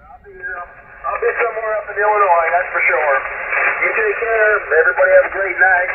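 A high-pitched man's voice comes through a thin, narrow shortwave signal drowned in loud noise. He chats casually and folksily, often repeating himself. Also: brisk. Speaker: amateur radio operator, trucker. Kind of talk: casual radio sign-off chat.

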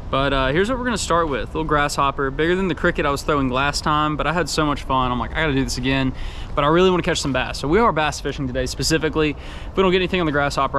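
A man's voice: a little high, a little hiss or hum. A man, chatting casually in a fishing vlog while he casts by an outdoor creek. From Texas. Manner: easygoing, excited, talks while casting. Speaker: fishing vlogger. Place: outdoors by a creek under an underpass. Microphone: camera mic, held close or filmed from a few steps away.

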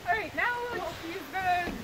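A woman's voice: very high voice, flat delivery, normal speed, heavy background noise. A young woman with a Chicago accent narrating a friend's playground run as mock commentary, outdoors on a phone recording. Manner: announcing each step in a mock-serious voice. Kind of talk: playful play-by-play narration. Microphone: phone camera held by someone else.

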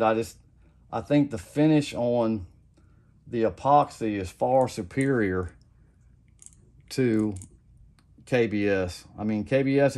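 A middle-aged man giving a casual how-to demonstration at his home workbench, rambling and often repeating himself. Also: mid-range voice. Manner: rambling, repeats "like I said", relaxed and plain-spoken. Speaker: custom lure maker.

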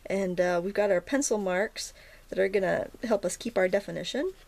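Slightly hoarse woman teaching watercolor, gravelly. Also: mid-range voice, normal speed, darkish tone. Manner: chatty, self-interrupting, frequent ums and restarts. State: slightly hoarse, morning froggy throat. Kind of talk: casual painting tutorial narration. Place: indoors at a painting table.

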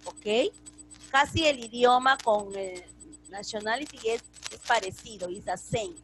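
A middle-aged woman leads a video-call class, talking slowly and drawing out each English word. Her voice sits a little high, and a faint hiss runs under the headset mic. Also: rough recording. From Peru. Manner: patient, repeats phrases, drawn-out English words. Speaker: English teacher. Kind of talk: online class teaching. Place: home room with altar behind.

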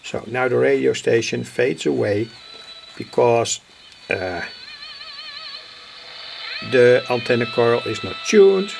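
A man giving an unscripted hands-on demonstration as a hobbyist, speaking haltingly in short phrases. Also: medium pitch, lively intonation, vocal fry, slight background noise. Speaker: hobbyist radio builder presenting. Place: indoor home workbench. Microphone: handheld camera's built-in microphone. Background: shortwave radio playing.

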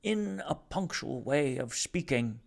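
A calm aristocratic gentleman, as a man puts him on, with a glottal tenor voice. He speaks slowly and deliberately, at peace with himself. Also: a little high, gravelly, pitched above the performer's own voice, full character voice.

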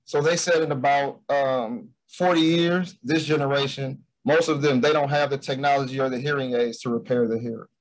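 A man's voice: medium pitch, steady tone, moderate pace, hardly any pauses, rough recording. A man giving a loose, hesitant student project presentation over a video call, with frequent restarts. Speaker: bootcamp student presenting a capstone.

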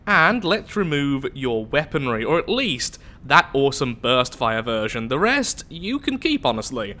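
Nasal young man with a bright voice. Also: mid-range voice, very animated, unhurried. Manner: chatty, self-mocking, thinking aloud. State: nasal from the flu. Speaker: gameplay commentator. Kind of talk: let's play commentary.

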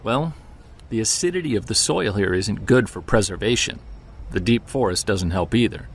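A man's voice: on the low side, lively intonation, moderate pace, a little hiss or hum. A man playing an earnest, wry, self-deprecating amateur monster hunter in a naturalistic audio drama. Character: driven, self-deprecating male amateur Bigfoot investigator.

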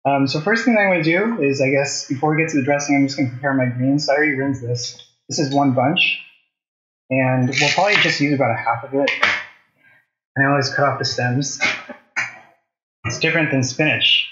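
A man's voice: mid-range voice, fairly quick, long pauses, pushed and bright. Guy in his 30s doing a casual cooking stream, chatty with viewers, echoey kitchen, slightly muffled audio. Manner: conversational, asks viewers questions while working. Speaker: cooking show host. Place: home kitchen, indoors. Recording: slightly dull, roomy kitchen sound.

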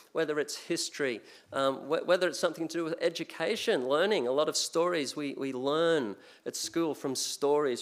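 Older Australian man preaching conversationally. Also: fairly high pitch. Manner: conversational, many restarts and fillers. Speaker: guest preacher. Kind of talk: sermon. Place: church sanctuary, speaking at a pulpit. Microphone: pulpit mic.

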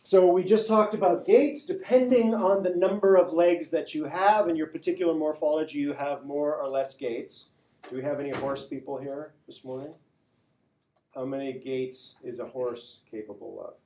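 A man's voice: fairly high pitch, normal speed, dark tone. A male university lecturer giving a classroom lecture, conversational, often checking in with "right?" and restarting phrases. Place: large lecture hall. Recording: echoey lecture hall.

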